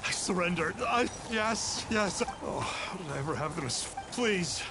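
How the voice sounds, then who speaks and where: A man voicing the pompous, excitable ghost of a fraudulent young exorcist in a video game, with florid, theatrical delivery. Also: high-pitched, unhurried, gravelly, noisy background, exaggerated voice. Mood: panicked. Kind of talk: video game character acting. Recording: game music behind.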